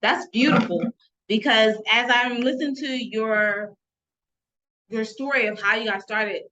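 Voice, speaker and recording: A woman hosts an interview and praises her guest effusively. Her voice sits high and sounds bright and forward. The recording is a little muffled, with a faint hiss underneath. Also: steady tone, measured pace. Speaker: host.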